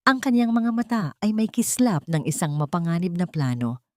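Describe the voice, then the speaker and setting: A woman's voice: fairly low pitch, big pitch swings, normal speed, no echo, studio quality. A woman narrating a dramatic story from a script in a solemn, emotive manner.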